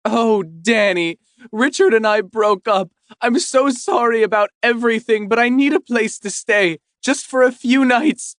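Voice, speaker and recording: Give me a man doing a pleading, possessive ex-wife, pitched up and teary. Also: animated, moderate pace, dry recording, natural voice. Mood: tearful, spiteful. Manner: tearful, clingy, then cutting.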